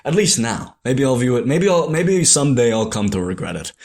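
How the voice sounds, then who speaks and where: A man's voice: mid-range voice, sing-song, a little fast, creaky, a little mellow. A young adult man from Ireland, a show creator, talking through a rambling, hesitant reflective monologue full of restarts and self-corrections.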